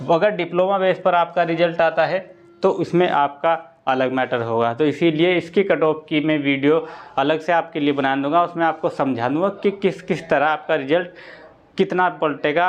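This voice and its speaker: A man with a somewhat high-pitched voice talks students through exam information. He is informal and instructive, repeating points and adding short check-in tags. The recording sounds dull and a little lo-fi. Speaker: online exam-prep presenter. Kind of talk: informal explainer addressed to students.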